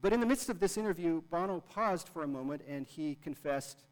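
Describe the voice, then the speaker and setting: An earnest male pastor delivers a sermon, often doubling his words for emphasis. His voice sits a bit higher than most men's. The sound carries the long reverb of a large church hall. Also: animated, a little fast.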